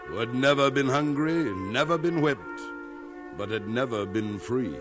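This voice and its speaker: An actor narrating a scripted 1950s radio drama as a solemn storyteller, with music behind him. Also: male voice, mid-range voice, a little slow, natural voice. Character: solemn storyteller man recounting a rebellion.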